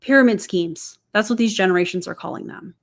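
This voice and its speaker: A woman's voice: medium pitch, fairly quick, creaky. A woman in her forties hosting a reaction commentary, sarcastic and incredulous, talking straight to her viewers. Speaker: commentary host.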